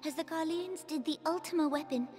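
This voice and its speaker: A woman's voice: sits high, fairly quick, darkish tone, soft background music, natural voice. An actress playing a regal, earnest and stern female ruler in a fantasy game cutscene, speaking formally in archaic phrases. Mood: solemn. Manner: formal, imperious, archaic speech. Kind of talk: dramatic scripted game cutscene acting. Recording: long echoing reverb.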